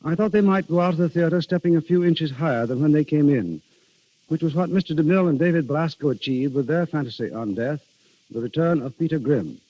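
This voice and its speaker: A man speaking as a guest writer in a scripted 1930s radio interview, formal and measured. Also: a little high, animated. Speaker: guest playwright. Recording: muffled 1930s radio broadcast.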